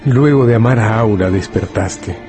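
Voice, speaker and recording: Radio drama: a man plays a grave male storyteller, hushed and deliberate, intimate delivery, music underneath. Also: on the low side, lightly acted. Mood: suspenseful. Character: grave, intimate male narrator addressing the hero as you. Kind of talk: dramatised radio novel narration.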